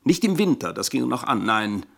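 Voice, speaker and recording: Pompous older butler in a radio play, middling pitch, stiff fancy wording, always wronged and scheming. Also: male voice, very animated, normal speed, vocal fry, fairly bright tone, exaggerated voice. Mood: stiff, self-pitying. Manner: stilted, formal, self-pitying diction. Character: aggrieved, pompous, scheming ageing manservant. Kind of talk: German radio play acting.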